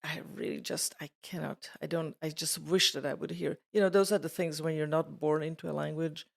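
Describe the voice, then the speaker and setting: Older woman, Slovak native, layered Slovak-Swedish-English accent; gravelly edge, reflective interview guest. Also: deep voice, steady tone, fairly quick. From Czechoslovakia. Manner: thoughtful, digressive, laughs easily. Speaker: interviewee, retired program editor. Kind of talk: casual reflective conversation.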